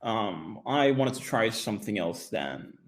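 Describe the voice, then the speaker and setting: A man's voice: on the low side, very animated, measured pace. A young man from Denmark giving a friendly webinar presentation as a guest speaker, with many hesitations, over a video call. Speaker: guest speaker, social enterprise executive.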